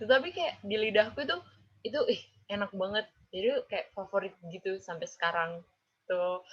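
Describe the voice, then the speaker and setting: A woman's voice: mid-range voice, fairly bright tone. A young woman in her twenties with a Javanese accent, chatting casually as an interviewee and tagging phrases with filler words. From Java, Indonesia. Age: 20s. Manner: chatty, drawn-out, ends phrases with "gitu". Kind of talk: casual chat. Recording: dull lo-fi recording.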